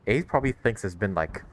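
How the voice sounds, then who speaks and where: A man giving casual, excitable commentary as he streams a video game. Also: fairly low pitch, normal speed, a little hiss or hum. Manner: excitable, reactive. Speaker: gaming streamer.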